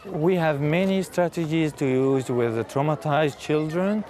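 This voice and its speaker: A man with a fairly high voice answers interview questions about his work. He speaks thoughtfully and haltingly, restarting and repeating words. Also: loud music behind the voice. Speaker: aid worker.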